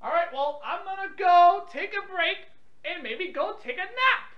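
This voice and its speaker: A woman's voice: very high voice, expressive, moderate pace. A woman with an irritable, confrontational manner, bantering on a phone call in dull lo-fi audio. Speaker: prank call participant. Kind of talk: phone banter, reading out weather updates.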